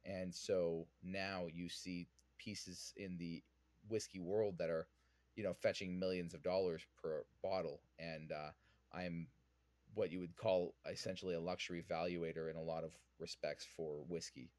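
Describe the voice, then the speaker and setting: A man's voice: low-pitched, level tone, normal speed, darkish tone, no echo. A man from Vancouver with a Canadian accent, answering interview questions conversationally as a podcast guest. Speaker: podcast guest, whiskey influencer.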